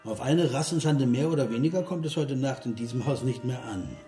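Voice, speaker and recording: A man's voice: mid-range voice, fairly quick, dark tone, natural voice. An actor playing a cynical, drinking party guest in a radio play, sardonic and mock-polite as he hammers out his sentences. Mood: sardonic, needling. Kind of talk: German radio play acting. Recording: roomy interior, music behind.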